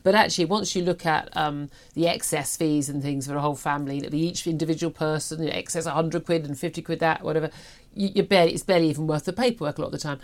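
British woman, fifties, slightly low-pitched, emphatic, chatty, opinionated talk radio host. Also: steady tone, very fast. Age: 50s. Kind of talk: opinionated news discussion.

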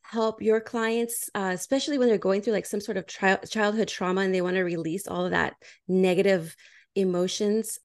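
A woman's voice: medium pitch, fairly even intonation, normal speed. A woman podcast host speaking casually and encouragingly as she interviews a guest.

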